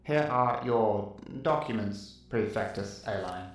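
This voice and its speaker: A man's voice: on the low side, slow pace, soft background music. A man playing a polite cyborg servant in a sci-fi audio drama, speaking in a stiff, formal, courteous way. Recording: very echoey, distant, some noise.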